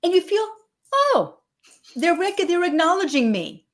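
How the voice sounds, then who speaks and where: Animated Cuban-born woman speaker coach. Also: very high voice, unhurried. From Cuba. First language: Spanish. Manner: animated, acts out quotes in a raised voice, frequent restarts. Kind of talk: livestream interview.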